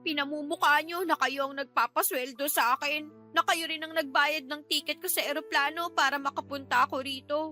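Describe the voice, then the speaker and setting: An actress playing a defiant, aggrieved young niece who whines and talks back, in a Tagalog radio drama. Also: female voice, high-pitched, fairly even intonation, fairly quick, bright, forceful tone, soft background music. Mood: bitter.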